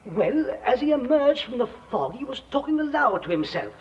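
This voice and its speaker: Distant, high-voiced male witness. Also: very animated, moderate pace. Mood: earnest. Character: nervous, talkative courtroom witness. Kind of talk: old-time radio drama acting. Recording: distant, muffled vintage radio recording.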